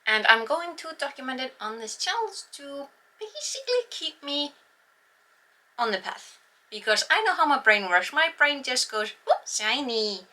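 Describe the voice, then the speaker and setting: A young Icelandic woman talks to her camera in English, her second language, with an easy, self-mocking chattiness and plenty of fillers. Her voice sits a little high and sounds bright and forward. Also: big pitch swings, normal speed. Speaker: writing vlogger. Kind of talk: casual vlog talk to camera.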